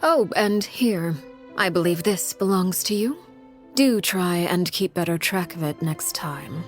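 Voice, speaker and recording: An actress playing a poised, coolly menacing aristocratic diplomat in a video game, speaking in a formal, measured manner. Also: female voice, lowish voice, lively intonation, big-room sound. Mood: veiled menace. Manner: formal, measured, veiled threats. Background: music.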